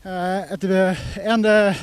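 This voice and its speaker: A man, reporting live outdoors with a handheld mic while walking up a hill, halting with many pauses. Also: sits high, flat delivery, clear, resonant tone, dry recording. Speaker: trackside race reporter. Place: outdoors on a grassy hillside. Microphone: handheld microphone.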